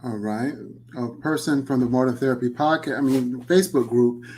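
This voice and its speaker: A man hosting an interview, speaking courteously and in measured, slightly formal phrases. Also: mid-range voice, a little mellow, a little hiss or hum, poor audio. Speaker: podcast host, interviewer.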